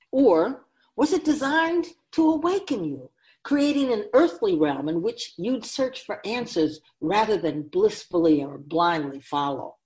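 A woman playing a wise, teasing, ageless female spirit guide in a radio drama, speaking in a measured, knowing way. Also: medium pitch, a little mellow, lightly acted. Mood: solemn, questioning. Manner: measured, knowing, rhetorical questioning.

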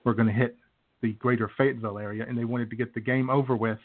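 A man's voice: medium pitch, fairly even intonation, quick pace. A man co-hosting a radio show, chatting casually about sports. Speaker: co-host of a comedy radio show. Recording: tinny phone-like narrow band.

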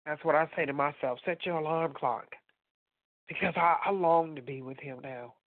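A woman's voice: sits low, moderate pace, dry recording. Woman co-host, stuffy nose, emphatic testimony style, dry tinny phone line. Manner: emphatic testifying, talks straight to listeners. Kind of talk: faith talk radio chat.

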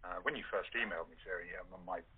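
A man's voice: sits low, quick pace, phone-quality sound, slight background noise. A man with an English accent chatting as an interviewed actor, affable and hesitant. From England. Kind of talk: casual interview chat. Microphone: telephone or internet call.

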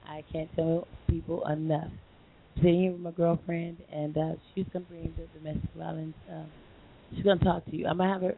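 Hoarse woman hosting over a phone line. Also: deep voice, flat delivery, brisk. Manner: rambling, chatty, full of fillers and restarts. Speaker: internet radio host. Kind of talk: casual live call-in show talk. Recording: lo-fi phone line with faint hiss.

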